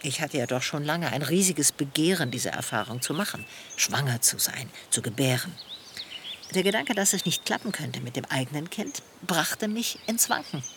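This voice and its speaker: A thoughtful middle-aged woman in a radio play, looking back on her life with earnest longing. Her delivery is measured and articulate, with a very lively melody. Birds and outdoor noise are clearly audible behind her. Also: low-pitched. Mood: reflective, earnest. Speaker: main character in a radio play. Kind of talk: reflective first-person radio play dialogue.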